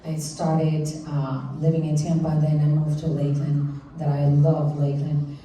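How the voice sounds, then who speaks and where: A woman's voice: low voice, flat delivery, moderate pace, dark tone. A woman from Rico, introducing herself on a panel in a hesitant way with many fillers. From Puerto Rico. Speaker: panelist, life coach. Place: convention hall. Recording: echoey hall from far off.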